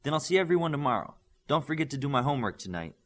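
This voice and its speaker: A pointed, proper schoolmistress, as a male reader plays her in an audiobook. She is brisk with people, pitched higher with animated intonation. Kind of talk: audiobook character voice.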